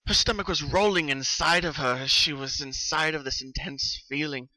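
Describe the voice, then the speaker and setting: A man narrating a story as a dramatic reading, with expressive, suspenseful delivery. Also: on the high side, moderate pace, dry recording, poor audio. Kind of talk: dramatic fan fiction reading.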